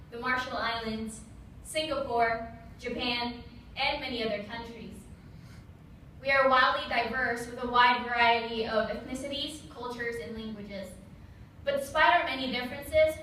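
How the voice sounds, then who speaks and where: Young woman officer, Tagalog speaker, bright voice, reading a speech in a reverberant hall with faint room noise. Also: fairly high pitch, distant mic. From Philippines. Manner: measured, reads from a prepared text. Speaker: Army officer giving an observance speech. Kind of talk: prepared public speech.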